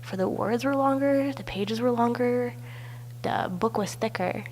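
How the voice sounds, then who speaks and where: A young woman from Belarus, a native Russian speaker, with a raspy, stuffy voice, narrating her personal story for a class project. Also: fairly high pitch, a little slow, darkish tone, slight background noise, poor audio. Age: young adult. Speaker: student. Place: outdoors at a balloon festival.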